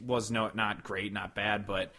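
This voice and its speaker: A man's voice: lowish voice, flat delivery, unhurried, bright, forceful tone. A young man co-hosting a podcast, chatting casually in a sardonic, sweary way. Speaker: podcast co-host. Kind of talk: casual banter.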